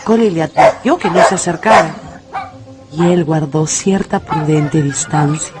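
An actress narrating an adventure novel as a storyteller in a dramatized radio audiobook. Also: female voice, sits low, a little slow, loud music behind the voice. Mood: tender storytelling.

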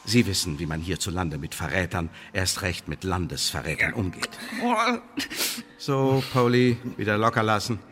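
A male actor playing an imperious secret service interrogator in a German radio play, pompous and sardonic, with a husky voice. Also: deep voice, moderate pace, a bit bright, soft background music. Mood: coldly threatening, then stern, commanding. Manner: pompous officialese mixed with sardonic colloquial jabs. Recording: reverberant hall-like radio drama room.

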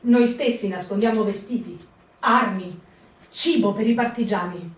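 A woman's voice: medium pitch, unhurried, natural voice. A woman playing an anxious partisan helper. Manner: reflective, anxious monologue. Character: frightened woman helping wartime partisans. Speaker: actor in a radio reading. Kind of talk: stage reading for radio. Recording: echoey room, distant mic.

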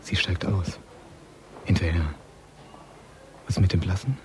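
A deep-voiced, cynical gangster thinks aloud in a radio play. He is tense and watchful, speaking in clipped, muttered fragments that tend toward a whisper. Music plays clearly behind him. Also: slow pace. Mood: tense, suspicious. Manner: clipped muttered phrases, often dropping to a whisper. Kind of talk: radio drama interior monologue.

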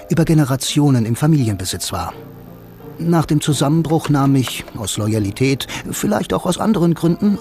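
An actor playing a blind, self-assured bank employee, confiding in the listener with dry irony in a radio drama monologue. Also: male voice, medium pitch, lightly acted. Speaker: first-person narrator and lead actor.

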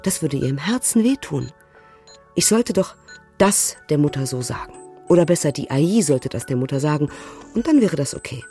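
A lower-pitched mother telling her story. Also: lively intonation, moderate pace, warm tone, faint music behind. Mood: earnest, troubled. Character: German mother in China recounting a family quarrel. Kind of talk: documentary radio drama monologue, conversational storytelling.

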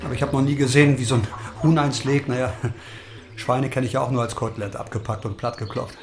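An actor playing a gentle, harmless, sheltered city man in a German audio drama, hesitant and self-deprecating. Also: mid-range voice, steady tone, quick pace, noisy background, natural voice. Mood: wry, rueful.

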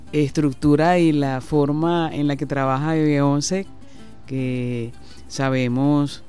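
Slow, unhurried radio host man. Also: mid-range voice, darkish tone. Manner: slow, explanatory, halting with fillers. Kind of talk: radio talk and interview. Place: radio studio.

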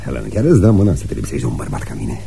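Very deep, gravelly wheedling suitor. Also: male voice, big pitch swings, warm tone, a little hiss or hum, exaggerated voice. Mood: pleading. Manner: wheedling, theatrical, drawn-out words and murmurs. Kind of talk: radio theatre acting.

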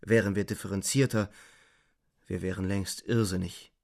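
A man playing a weary, despairing young German soldier, narrating gravely and deliberately in a literary radio play. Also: deep voice, fairly even intonation, a little mellow, no echo, studio quality. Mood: weary, reflective. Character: twenty-year-old German soldier, weary, despairing, reflective.

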